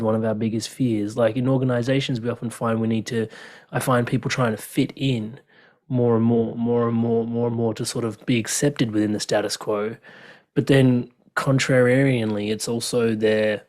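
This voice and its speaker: Man, podcast host, casual chat, laughs easily, slangy fillers, middle pitch. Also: a little mellow.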